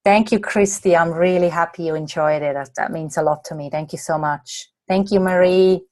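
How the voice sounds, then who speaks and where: A slightly hoarse woman from Australia, a coach answering viewer questions in a chatty way during a live online masterclass late at night. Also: on the low side, fairly even intonation, moderate pace, a little mellow, dry recording, studio quality. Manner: chatty, reads out viewer comments and answers them. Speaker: coach and presenter.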